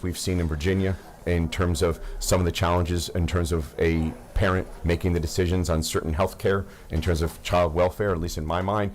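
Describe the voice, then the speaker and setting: A man presenting a resolution to a legislative committee, speaking haltingly with many restarts and repeated phrases. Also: sits low, darkish tone, slight background noise. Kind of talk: committee hearing testimony.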